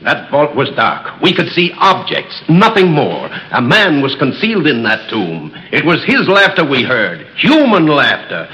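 A stubborn, scornful head of the house in a 1930s radio play. He blusters in a bright, sharp voice, and his pitch leaps about. His tone is somewhat high for a man. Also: huge pitch swings, unhurried, hardly any pauses, highs cut off, full character voice. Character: stubborn skeptical middle-aged father who owns the house. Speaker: actor. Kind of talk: 1930s radio drama acting.

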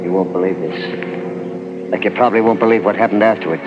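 Guy doing scared carny telling his story on old 40s radio, slangy n halting, dull recording w music under. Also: on the low side, natural voice. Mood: haunted, subdued. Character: frightened working-class carnival man recounting his ordeal. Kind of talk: 1940s radio acting, first-person narration.

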